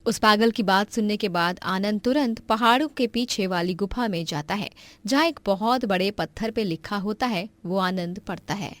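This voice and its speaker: A woman's voice: medium pitch, normal speed, no room sound. A woman storyteller reading scripted narration for a cartoon moral tale.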